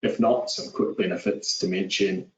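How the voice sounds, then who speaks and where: Low-voiced Kiwi man presenting a webinar. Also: normal speed, a little hiss or hum. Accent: New Zealand. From New Zealand. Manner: steady, businesslike, with frequent filler "uh"s. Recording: echoey, distant, dull lo-fi audio.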